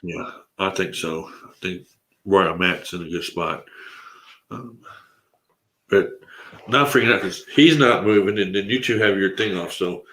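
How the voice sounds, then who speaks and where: A man's voice: low voice, measured pace, pauses often, fairly bright tone. A congested man hosting a casual live stream, talking in a rambling, laid-back way with lots of fillers. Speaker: livestream host. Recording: roomy echo.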